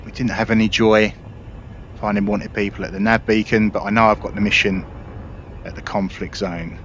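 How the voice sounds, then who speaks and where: A man's voice: lowish voice, little pitch movement, moderate pace, a bit bright. A man giving casual, explanatory commentary over his video game play. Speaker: gaming video creator. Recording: dull audio, faint game noise. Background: spaceship game ambience.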